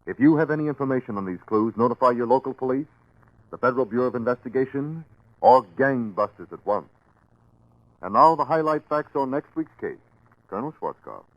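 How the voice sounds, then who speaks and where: A man's voice: medium pitch, expressive, fairly quick, no echo. An American man announcing on 1940s radio in a scripted commercial delivery. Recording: dull, dry 1940s radio broadcast.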